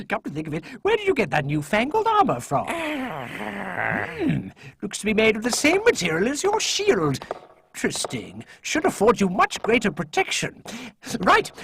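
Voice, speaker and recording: A man's voice: extremely high pitch, fairly bright tone, slightly rough, no room sound, exaggerated voice. An actor playing a fussy, eccentric old male professor in a game cutscene, talking with frequent hesitations.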